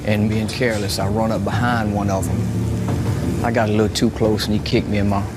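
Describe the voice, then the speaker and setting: A man's voice: lowish voice, little pitch movement, fairly quick, very noisy. A man, recalling his life in a documentary interview. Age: 40s. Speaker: prison inmate, rodeo rider. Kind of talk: documentary interview, personal recollection.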